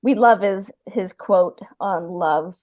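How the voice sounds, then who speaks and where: A woman hosting a casual podcast chat, talking haltingly and often trailing off. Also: medium pitch, no room sound, lo-fi sound. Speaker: podcast host.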